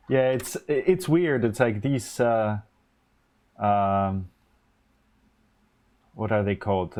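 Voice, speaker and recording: A man's voice: mid-range voice, a little mellow. A man whose first language is Polish, hosting a live stream and chatting casually while he works, rambling with many fillers and restarts. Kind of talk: casual chat while designing electronics.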